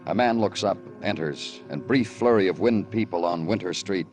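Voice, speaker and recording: An actor playing a weary, poetic police detective in 1950s radio noir, with clipped, lyrical phrasing. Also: male voice, low-pitched, normal speed, a little mellow, natural voice. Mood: brooding. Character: weary, poetic hard-boiled New York police detective. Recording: vintage radio drama, music behind voice.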